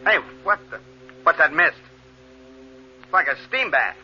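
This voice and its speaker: A noisy, lo-fi old radio recording of an excitable spaceship crewman. His medium-pitched voice swings widely and eagerly, plain-spoken and dutiful in 1950s sci-fi style. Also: unhurried. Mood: startled, excited. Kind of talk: 1950s science fiction radio acting.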